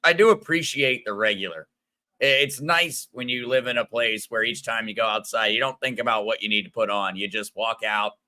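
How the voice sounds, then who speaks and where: A man hosting a casual livestream, greeting viewers by name and chatting in a friendly, rambling way with a smooth, radio-like voice. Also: lowish voice, a little fast, pushed and bright. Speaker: crypto livestream host. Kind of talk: casual livestream chat reading viewer comments.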